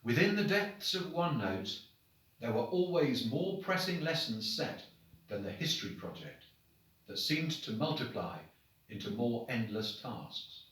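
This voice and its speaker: A man, a headmaster, reading short stories aloud to children in an expressive, deliberate, dramatic storybook manner. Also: medium pitch. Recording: very echoey room, distant microphone.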